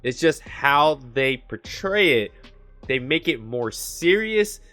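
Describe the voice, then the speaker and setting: A man talks through anime opinions in a loose, casual ramble, filling his sentences with "like" and "you know". His voice sits a bit higher than most men's, and he describes it as monotone. Soft music plays faintly underneath. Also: takes it slowly. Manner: chatty, leans on "like" and "you know"; calls his own voice monotone. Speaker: anime commentary host.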